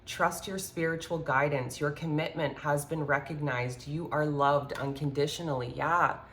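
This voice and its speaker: A woman with a congested, slightly hoarse voice giving a rambling, earnest spiritual talk with many fillers while doing a card reading at her home altar. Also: sits low, steady tone, normal speed, fairly bright tone, a little hiss or hum. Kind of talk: casual spiritual talk to viewers. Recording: echoey room, faint ambience.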